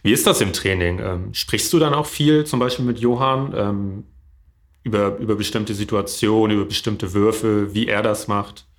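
A man with a somewhat low voice hosts a relaxed sports interview. He asks chatty, encouraging questions and keeps the conversation going. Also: normal speed. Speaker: interviewer, host.

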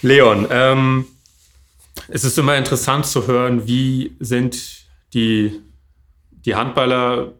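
A man's voice: mid-range voice, fairly even intonation, slow, fairly bright tone. A man hosting a sports interview, asking questions in a chatty, encouraging way. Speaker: interviewer, host.

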